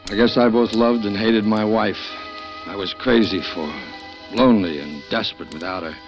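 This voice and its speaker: A man's voice: lowish voice, normal speed, lightly acted. An actor playing a jealous husband who narrates his plans in the first person, in a 1940s radio drama. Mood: brooding. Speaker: narrator and lead. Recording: dull old radio broadcast with music.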